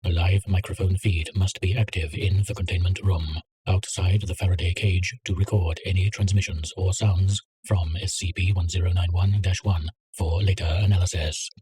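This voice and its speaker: A man reading a containment document aloud in a measured, clinical way. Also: deep voice, steady tone, mellow, breathy edge. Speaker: narrator. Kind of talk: scripted reading of a containment file.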